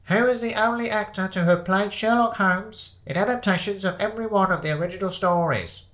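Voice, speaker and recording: Man acting a measured pub quizmaster, pitched up. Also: big pitch swings, sharp tone, heavily acted. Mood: formal, unbothered. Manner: measured, projected announcing. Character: pub quizmaster reading out trivia questions.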